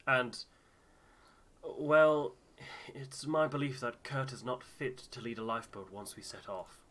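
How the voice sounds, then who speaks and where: A man voicing a careful, concerned ship's doctor in a game, measured and formal. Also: medium pitch, fairly even intonation, a bit bright, natural voice. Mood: grave, concerned. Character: earnest, discreet male expedition doctor, concerned and careful.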